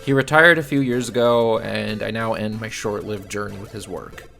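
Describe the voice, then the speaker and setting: A man giving scripted film review commentary in a blunt, exasperated, sardonic way, with a gravelly creak. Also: on the low side, normal speed, fairly bright tone, faint music behind. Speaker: video reviewer.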